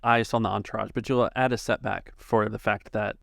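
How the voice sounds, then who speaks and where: A man's voice: on the low side, fairly even intonation, fairly quick, creaky, fairly bright tone, dry recording, clean studio sound. A man running a tabletop roleplaying game as game master, narrating scenes and refereeing the players' choices.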